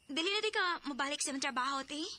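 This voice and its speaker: An actress playing a chatty, teasing younger sister with a Cebuano Filipino accent in a radio drama. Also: female voice, sits high, animated, rapid-fire, music in the background.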